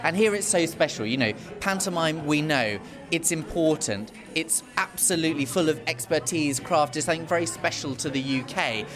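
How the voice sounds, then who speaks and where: A man's voice: a little high, normal speed, over music. A man, an awards organiser, speaking effusively and with a lot of enthusiasm as an interview guest. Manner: effusive, gushing, lively.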